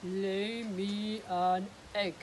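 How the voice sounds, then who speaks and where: A woman doing a slow booming giant. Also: level tone, a little hiss or hum. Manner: slow, loud and drawn out. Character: big booming male giant, gruff and greedy.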